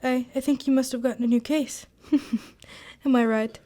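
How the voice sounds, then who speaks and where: An amiable gentleman sidekick in a radio mystery play, acted by a woman, pitched fairly high. The talk is chatty and eager, full of exclamations and interest in the detective's work. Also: steady tone, measured pace. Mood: curious, engaged. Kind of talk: amateur radio drama acting.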